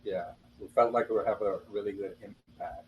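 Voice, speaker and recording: A man with a Trinidadian accent talks in a lowish voice, relaxed and chatty as he tells his story. A faint hum of ambience sits behind him. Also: brisk, highs cut off. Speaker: rapper and producer. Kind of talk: casual interview chat.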